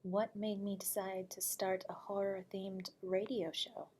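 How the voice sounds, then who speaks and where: A woman playing an eerie, nocturnal horror radio host from beyond the grave, speaking to the listener in a soft, breathy, whispery voice, courteous and teasing, with frequent chuckles. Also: mid-range voice, flat delivery, a little slow, a little hiss or hum, lightly acted. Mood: silky, teasing. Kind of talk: audio roleplay addressing the listener.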